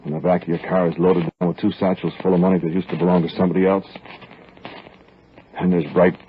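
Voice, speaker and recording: An actor playing a grim man on the run with stolen money, narrating in a low, measured way in a 1940s radio drama. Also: level tone, slight background noise, close to an everyday voice. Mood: brooding. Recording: tinny old radio broadcast with faint music.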